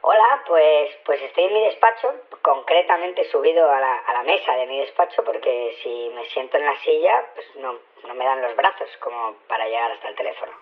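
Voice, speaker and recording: Shrunken little security boss, pitched-up tiny voice, hesitant and candid, muffled phone line, radio drama. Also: male voice, expressive, brisk, few pauses. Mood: sheepish, explaining. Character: flustered security chief shrunk to half size, small high voice. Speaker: interviewed security chief. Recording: muffled narrow telephone line.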